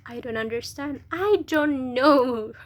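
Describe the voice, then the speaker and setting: A woman's voice: high voice, slow pace. A young woman from Indonesia with an Indonesian accent, a university student chatting to camera, hesitant and restarting as she searches for words. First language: local Indonesian language. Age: young adult. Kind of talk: casual video talk to camera.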